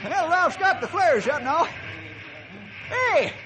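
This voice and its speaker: An actor playing an excitable, folksy old-timer ranger sidekick with a high, strained voice in 1950s radio style. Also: male voice, takes it slowly, full character voice. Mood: excited. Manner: folksy rustic phrasing. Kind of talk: 1950s radio adventure acting. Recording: noisy vintage 1950s radio recording.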